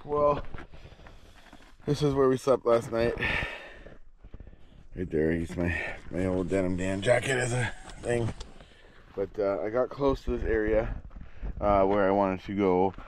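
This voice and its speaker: A man's voice: on the low side, measured pace, long pauses, a little mellow, a little hiss or hum. A man chatting casually to viewers as an outdoor camping and fishing vlogger, with a folksy, playful, exuberant manner full of quirky slang. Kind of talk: casual vlog chat to viewers. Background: music.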